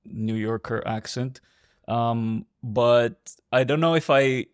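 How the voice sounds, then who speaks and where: A man's voice: mid-range voice, slow, smooth, dry recording, studio quality. A man in his thirties hosting a podcast interview, chatty and friendly, laughing often and asking open questions. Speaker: podcast host. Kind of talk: casual interview chat.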